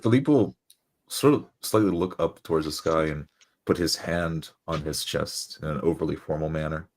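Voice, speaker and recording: Very deep-voiced male roleplayer. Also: normal speed, darkish tone. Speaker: tabletop game player. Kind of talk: describing his character's actions in a roleplaying game.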